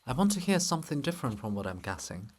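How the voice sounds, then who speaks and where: A man's voice: mid-range voice, sing-song, quick pace, husky. A man voicing a proud, insistent princess in a dramatic reading, imploring and solemn. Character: proud, pleading princess, insistent and braced for pain.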